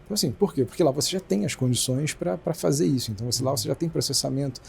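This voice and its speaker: Brief: Brazilian male exec guest, rapid-fire delivery, warm mellow tone, faint hiss behind. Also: mid-range voice, creaky. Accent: Brazilian Portuguese. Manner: fast, animated explaining with many restarts. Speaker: company president, guest. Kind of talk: casual business interview.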